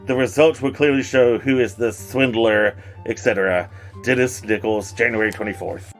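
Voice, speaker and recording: A man's voice: mid-range voice, normal speed, soft background music, close to an everyday voice. A man playing an affronted, dignified nineteenth-century horse buyer, reading an old public notice with formal, pompous disdain. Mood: affronted, disdainful.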